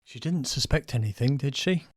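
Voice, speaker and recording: A man's voice: mid-range voice, expressive, normal speed, natural voice. A man playing a meek, henpecked shop supervisor in an audio drama, polite and anxious, with a mild, hesitant manner. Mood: uneasy.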